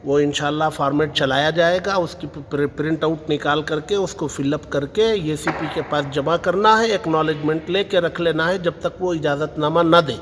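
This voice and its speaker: A man's voice: fairly high pitch, steady tone, moderate pace, few pauses. A man with an Indian accent giving an explanatory public statement as a community spokesman. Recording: long hall reverb, faint noise.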